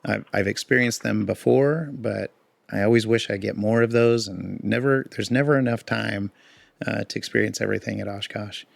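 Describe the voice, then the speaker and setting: Man, podcast co-host, hoarse, deep-set gravelly voice, relaxed rambling chat, dry sound. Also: normal speed, dry recording. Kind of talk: casual chat.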